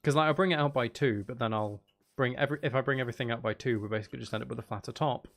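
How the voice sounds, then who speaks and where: Casual male game streamer with creaky voice. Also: mid-range voice, racing, fairly bright tone. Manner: playful, teasing banter with chat. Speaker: streamer hosting a live gaming stream. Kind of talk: casual gaming livestream chat.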